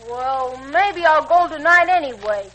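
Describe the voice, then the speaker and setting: High-voiced plucky little boy, old radio. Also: expressive, measured pace, fairly bright tone. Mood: earnest, curious. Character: plucky, aggrieved young boy threatening to run away. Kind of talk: 1940s radio serial acting. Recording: noisy old 1940s radio recording.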